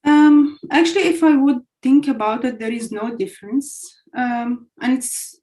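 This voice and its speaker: A woman's voice: high-pitched, fairly even intonation, measured pace, warm tone, poor audio. Young Syrian-born woman, chatty English. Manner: chatty, non-native phrasing, many fillers. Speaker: guest, front-end engineer. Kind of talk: casual interview answers.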